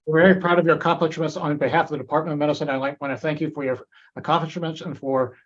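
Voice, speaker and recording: A man's voice: fairly high pitch, little pitch movement, racing, dull audio. A man moderating a webinar question session at grand rounds, speaking with frequent fillers and restarts. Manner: hesitant, many fillers and restarts.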